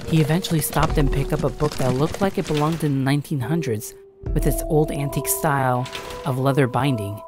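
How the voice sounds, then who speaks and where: A woman's voice: sits low, close to an everyday voice. A woman playing an anxious young grandchild who earnestly and dramatically narrates a horror tale. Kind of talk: first-person scripted horror narration with acted dialogue.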